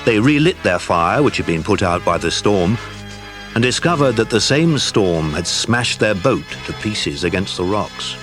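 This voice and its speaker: A man playing the narrator of a children's adventure, telling the story in a measured, expressive way. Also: on the low side, over music. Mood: storytelling.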